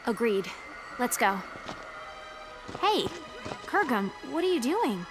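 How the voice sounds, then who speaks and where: A woman's voice: on the high side, very animated, slow, rough recording. An actress playing a brash, sarcastic young woman in a fantasy game audio drama, speaking bluntly over music. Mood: sardonic, blunt. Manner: blunt, sardonic. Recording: loud music under the voice.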